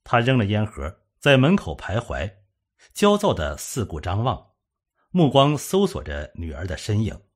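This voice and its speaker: A man with a husky voice reading a scripted suspense story as an audiobook narrator. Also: on the low side, huge pitch swings, unhurried.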